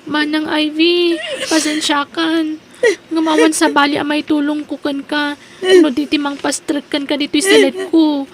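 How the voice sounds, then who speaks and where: An actress voices a timid young niece in an Ilocano radio drama. She is high-pitched, apologetic and tearful, recorded with faint background noise. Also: steady tone, moderate pace, natural voice. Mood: sorrowful, apologetic. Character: timid young niece, sorrowful reluctant jailer.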